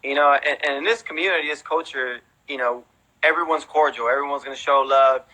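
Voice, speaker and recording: Bright-voiced American man, casual storyteller. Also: medium pitch, a little fast, rough recording. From raised in Houston, United States, born in Mexico. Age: 30s. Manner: informal, peppers talk with "you know" and "man". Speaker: interview guest, veteran breakdancer.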